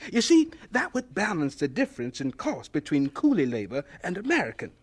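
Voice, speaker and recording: An actor playing a spirited, stubborn elderly scientist with a thin, husky, halting voice in a radio drama. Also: male voice, high voice, exaggerated voice. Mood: earnest, excited. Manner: animated, halting, teacherly. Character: spirited, stubborn elderly male scientist, cheerfully absent-minded.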